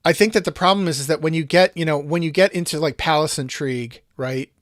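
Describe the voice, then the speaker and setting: A man with a slightly higher voice hosts a casual podcast and talks in long, winding thoughts that loop back on themselves. The sound is dry and close, with no room around it. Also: fast talker, fairly bright tone, no room sound. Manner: thoughtful, rambling with restarts and asides. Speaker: podcast host.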